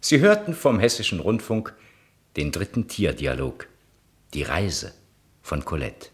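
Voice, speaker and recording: A man's voice: deep voice, huge pitch swings, measured pace. A man reading scripted closing credits as a radio announcer. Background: guitar music.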